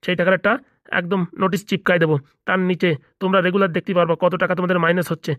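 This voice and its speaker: A man's voice: high voice, flat delivery, racing, a bit bright, dry recording, studio quality. A man voicing a blunt, self-important small-time gangster boss in a comedy cartoon, bossy and businesslike with a Bengali accent. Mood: boastful, firm. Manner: bossy, businesslike, repeatedly seeking agreement.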